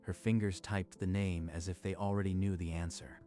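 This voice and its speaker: A man narrating a scripted story in a measured, even manner. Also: low-pitched, soft background music.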